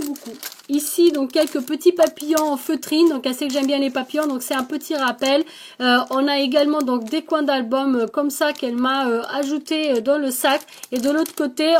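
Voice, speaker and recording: A woman with a high-pitched voice and an accent, hosting her own craft channel. She talks in a relaxed, chatty way, filling her sentences with little hesitations as she shows off the crafts she was sent. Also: slight background noise. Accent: non-native French accent. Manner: chatty, lots of hesitations and "donc". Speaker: scrapbooking channel host. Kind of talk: casual show-and-tell of craft mail.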